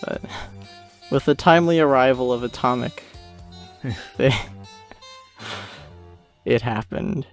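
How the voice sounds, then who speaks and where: A man giving a casual, rambling and self-deprecating commentary on an animated series he made. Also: mid-range voice, takes it slowly, creaky, pauses often, faint music behind. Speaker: creator of an animated parody series, commentary host. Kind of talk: casual commentary track chat.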